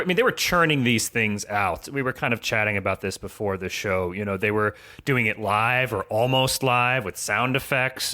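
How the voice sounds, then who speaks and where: A man with a bright, forward voice talks as a guest on a podcast. He explains things conversationally, laying out his commentary in measured phrases. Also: fairly low pitch.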